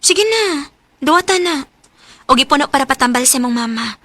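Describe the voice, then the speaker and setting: High-voiced, caring young Cebuano woman. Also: animated, a little fast, bright, forceful tone, no echo. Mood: coaxing. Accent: Filipino, Cebuano. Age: young adult. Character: kind, generous young female student. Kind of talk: radio drama acting.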